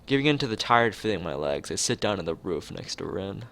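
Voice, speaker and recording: Low, gravelly male read-aloud narrator. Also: big pitch swings, quick pace, fairly bright tone. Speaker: let's player reading a visual novel aloud. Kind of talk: expressive read-aloud narration of a story game.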